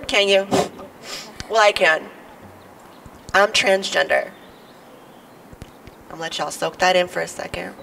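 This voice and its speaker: A young woman with a low voice, a little sick, performing a stand-up comedy monologue with playful, teasing asides to the crowd. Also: fairly even intonation, measured pace, creaky, long pauses, fairly bright tone. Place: outdoors on building steps at a podium. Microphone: podium mic. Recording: distant outdoor mic, faint noise.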